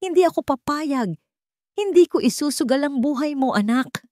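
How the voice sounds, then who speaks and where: Woman acting a distraught high-pitched protective mother. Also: lively intonation, moderate pace, mellow, no room sound, clean studio sound. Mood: fearful refusal. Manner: anguished, emphatic.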